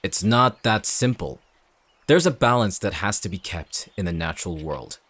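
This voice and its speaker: An actor playing a cold, calculating villain in an audio drama, speaking in a measured, smug, menacing way. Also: male voice, sits low, expressive, fairly bright tone, no room sound. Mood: condescending. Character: cold, calculating male villain leading an anti-shifter operation. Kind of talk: dramatic audio roleplay acting.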